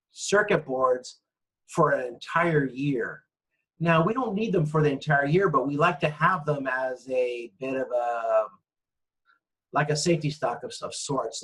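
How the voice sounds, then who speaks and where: A man's voice: medium pitch, fairly bright tone, low-quality recording. A man lecturing informally over slides, explaining things in a conversational way and often checking in. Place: online lecture with screen-shared slides.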